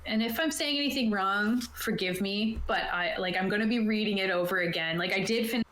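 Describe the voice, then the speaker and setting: A woman's voice: mid-range voice, fairly quick, a bit bright. Woman game master in an echoey room. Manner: informal, conversational. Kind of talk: casual explanation of tabletop game rules. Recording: roomy, echoey voice sound.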